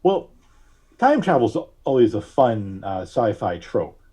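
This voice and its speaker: A man is being interviewed on a podcast in a relaxed, casual way. His voice is mid-pitched and notably mellow. He speaks haltingly, working out his thoughts as he talks. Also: husky. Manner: halting, thinking aloud. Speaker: podcast guest; audio drama writer.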